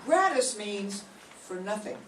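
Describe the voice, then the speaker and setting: A woman's voice: medium pitch, sing-song, slow, recorded from a distance, background noise. An older woman playing Jane, a wry, sensible young woman, in a staged 1940s radio comedy reading. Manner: dry, sarcastic. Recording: roomy hall, heard from afar.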